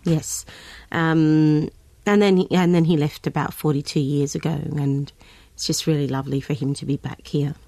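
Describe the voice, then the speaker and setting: Low, dry-miked Australian woman guest; halting, filler-heavy chat. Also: steady tone, mellow, no echo. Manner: hesitant, with many ums and restarts. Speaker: guest singer. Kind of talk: casual interview chat.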